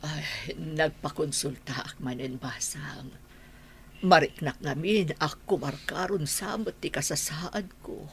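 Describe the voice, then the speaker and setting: Low, weary, sickly old mother. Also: takes it slowly. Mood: sad, weak. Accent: Ilocano. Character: sickly, sorrowful elderly mother, weary and longing. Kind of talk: Ilocano radio drama acting. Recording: noisy ambience under voice.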